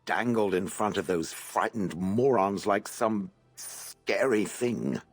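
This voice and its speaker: Deep, gravelly, scornful stone golem. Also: male voice, lively intonation, takes it slowly, full character voice. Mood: menacing, irritated. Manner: sardonic, haughty, blunt, dry. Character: ancient grumpy stone golem, scornful of soft humans. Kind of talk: video game dialogue acting.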